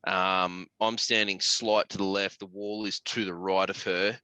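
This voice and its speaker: A man's voice: low-pitched, little pitch movement, a little slow, bright, forceful tone, smooth, no echo. An Australian man chatting casually as a podcast host, blokey and sweary, full of slang.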